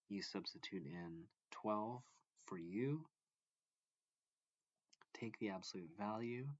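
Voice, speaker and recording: Low-voiced man teaching math, echoey room. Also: highs cut off. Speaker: math teacher reading a textbook aloud. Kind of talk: instructional read-along of a math textbook.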